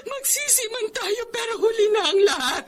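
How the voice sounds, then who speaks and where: A woman's voice: very high voice, lively intonation, normal speed. An actress playing an anxious, protective mother, melodramatic and emotional, in a Filipino radio drama. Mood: grieving, accusing. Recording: noisy background ambience.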